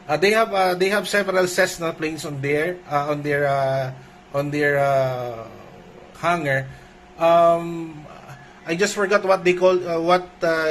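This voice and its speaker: A man with a Filipino accent chatting casually as an amateur radio operator, halting often as he searches for words. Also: fairly high pitch, fairly bright tone. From Philippines. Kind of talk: casual ham radio contact. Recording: faint background noise.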